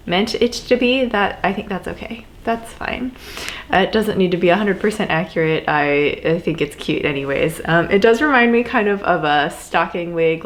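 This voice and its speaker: A woman's voice: medium pitch, a little hiss or hum. A young woman with a stuffy, sniffly nose, chatting casually to camera about her cosplay. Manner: chatty, laughs often, many fillers. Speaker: cosplay vlogger.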